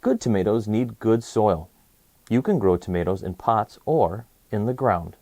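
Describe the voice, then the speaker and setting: A man with very lively, varied intonation reads an educational book aloud for children. His voice is mellow and mid-pitched, and his phrasing is simple and clear. The sound is close and dry, in the style of a kids' story host. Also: unhurried, no echo. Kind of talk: educational picture book read-aloud for kids.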